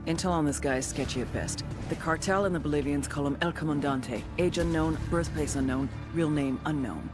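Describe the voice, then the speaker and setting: An actor playing a shrewd intelligence briefer, reading a scripted dossier in a dry, knowing, colloquial way. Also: male voice, on the high side, brisk, close to an everyday voice. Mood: matter-of-fact, grave. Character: shrewd intelligence briefer profiling cartel targets. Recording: music behind the voice.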